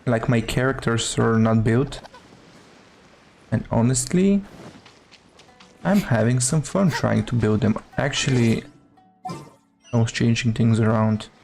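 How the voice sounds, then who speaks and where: A man's voice: mid-range voice, slow, creaky, pauses often, darkish tone, faint music behind. A man chatting casually as a live game streamer, hesitant and muttering to himself.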